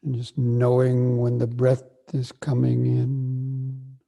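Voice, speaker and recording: A man guides a breathing meditation in a soft, unhurried voice. His delivery is extremely flat and very slow, with long pauses, and his tone is dark and mellow. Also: medium pitch, vocal fry. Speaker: meditation teacher.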